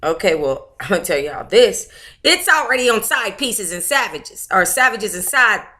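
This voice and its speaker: A woman's voice: medium pitch, big pitch swings, moderate pace, sharp tone. An American woman hosting a book club livestream, talking in a casual, chatty, slangy way with familiar endearments.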